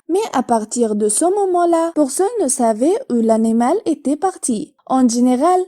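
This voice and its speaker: A woman's voice: high-pitched, warm tone. A woman narrating a scripted story, reading it out word for word.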